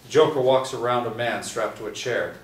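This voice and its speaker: A man reading stage directions aloud at a table reading, steady with small restarts. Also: mid-range voice, a little fast. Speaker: script narrator. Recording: echoey room from a distance, faint hiss.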